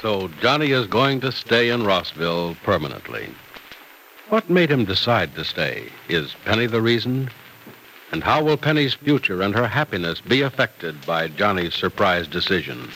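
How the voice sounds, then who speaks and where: A man's voice: fairly low pitch, sing-song, moderate pace, sharp tone. A male announcer reading scripted old-time radio narration and advertising with emphatic polish, on a noisy vintage recording.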